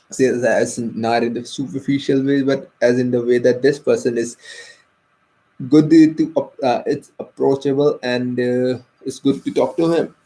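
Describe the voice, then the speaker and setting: A man's voice: medium pitch, creaky, mellow, poor audio. A young man with an Indian accent, a native Hindi speaker, talking hesitantly in a personal vlog with many fillers and restarts. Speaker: vlogger.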